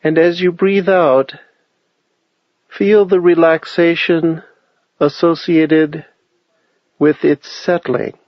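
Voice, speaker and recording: Male meditation guide, slow and measured delivery, calm instruction, dry and slightly muffled sound. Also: on the high side, dry recording. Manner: unhurried, measured phrasing with long pauses.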